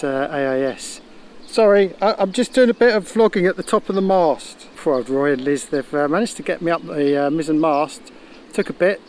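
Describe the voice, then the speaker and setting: A man's voice: a little high, slight background noise. A man talking casually to camera as a sailing vlogger, explaining things and thinking aloud in a slightly hesitant way. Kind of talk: casual explanatory vlog talk.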